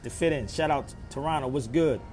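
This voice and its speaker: A man's voice: mid-range voice, fairly quick, a little mellow. Man ranting on a livestream, preachy, repeats himself a lot, faint music behind. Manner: preachy, emphatic, repeats phrases. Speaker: live stream host. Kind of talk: livestream monologue to viewers.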